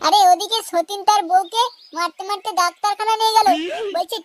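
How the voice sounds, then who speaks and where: A squeaky, meddling cartoon sidekick, extremely high in pitch. The talk is crude and excitable, with loud music playing behind it. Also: brisk. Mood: alarmed. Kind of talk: comedy cartoon dialogue in colloquial Bengali.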